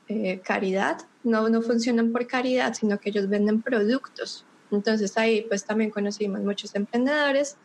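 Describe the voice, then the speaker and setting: A woman with a Bogotá Colombian accent, a podcast guest telling her story in a relaxed way, pausing often with fillers. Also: fairly high pitch, a little hiss or hum. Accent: Bogotá Colombian Spanish. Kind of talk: casual interview chat.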